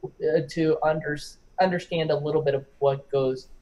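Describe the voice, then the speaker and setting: A young man with a fairly high voice talks casually in an online group discussion. He hedges and backtracks haltingly and swears freely. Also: steady tone, darkish tone, dull audio. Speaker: discussion participant. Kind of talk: casual chat.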